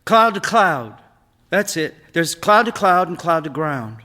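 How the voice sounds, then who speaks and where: A man's voice: a little high, sing-song, unhurried, pushed and bright, pitched above the performer's own voice, exaggerated voice. A man reading a story aloud, voicing a grieving, moody young son with emphatic, exasperated delivery. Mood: curious, explaining. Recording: long hall reverb of a stage.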